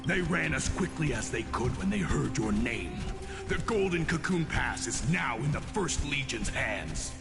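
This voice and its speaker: A man's voice: medium pitch, big pitch swings, unhurried, vocal fry. A man playing an eager, loyal military officer in a video game, deferential and earnest. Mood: dutiful. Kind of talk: dramatic video game voice acting. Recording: long reverb, music behind.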